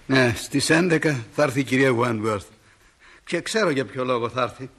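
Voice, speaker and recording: A man's voice: mid-range voice, fairly bright tone, natural voice. An actor playing a tired, easygoing small-town doctor and father in a radio play.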